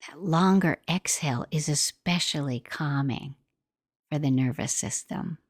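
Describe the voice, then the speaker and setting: Slow, low-voiced woman guiding meditation. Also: dry recording, clean studio sound. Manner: gentle, soothing, unhurried. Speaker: meditation guide. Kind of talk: guided meditation, soft instructional narration.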